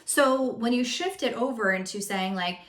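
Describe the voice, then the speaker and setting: A woman's voice: a little high, normal speed. A young woman with a Greek family background, a coach giving candid, chatty relationship advice to the camera. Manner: chatty and candid, with frequent fillers. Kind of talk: casual advice talk to camera. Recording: roomy echo.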